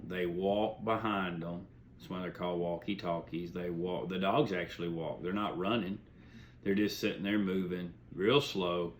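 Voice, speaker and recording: A man's voice: low voice, a bit bright. A man hosting a hobby video series, talking informally to viewers in a folksy, plain-spoken, rambling way. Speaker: host of a beagle hunting video series. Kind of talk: informal explanatory monologue to viewers.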